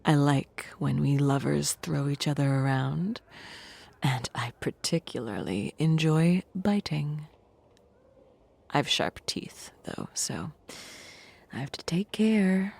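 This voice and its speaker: A woman playing a sultry, fanged fiend-blooded courtesan in an audio drama, flirtatious, coy and teasing, with breathy whispered phrase endings. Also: low voice, animated, slow pace, darkish tone, full character voice. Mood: seductive, teasing. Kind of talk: audio drama character acting.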